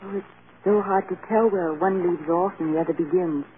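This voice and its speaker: An actress playing a devoted, anguished film star wife in an earnest, emotional 1930s radio drama style. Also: female voice, fairly low pitch, brisk, close to an everyday voice. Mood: sad, resolved. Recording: scratchy, tinny 1930s radio broadcast.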